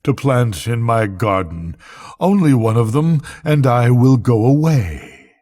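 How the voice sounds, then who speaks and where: Old man reading as husky, weary, relentless Death. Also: medium pitch, lively intonation, dark tone, dry recording, studio quality. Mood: coaxing. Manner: slow, solemn, archaic speech.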